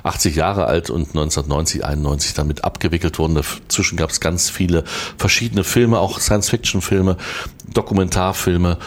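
Deep-voiced male radio host with a Thuringian accent, chatty and explanatory. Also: steady tone, brisk. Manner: chatty, explaining with restarts and asides. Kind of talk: casual radio chat about film history.

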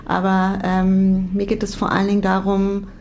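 A woman from Berlin in her forties answering interview questions thoughtfully, often pausing and restarting her sentences. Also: mid-range voice, completely flat delivery, darkish tone, highs cut off, slight background noise. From Berlin, Germany. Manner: thoughtful, many restarts and hesitations. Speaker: psychology professor.

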